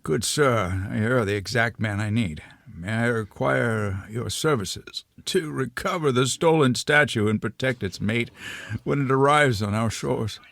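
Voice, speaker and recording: A man's voice: mid-range voice, unhurried, gravelly, a bit bright, no room sound. A man playing a nervous, well-mannered otter archaeologist in a comic radio drama, fussy, genteel and hesitant. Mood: genteel, eager.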